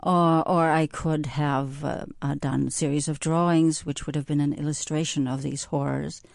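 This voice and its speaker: A woman's voice: sits low, fairly even intonation, normal speed, gravelly, a little mellow, dry recording, clean studio sound. A woman, a visual artist, answering interview questions thoughtfully, hesitating and searching for words. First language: German.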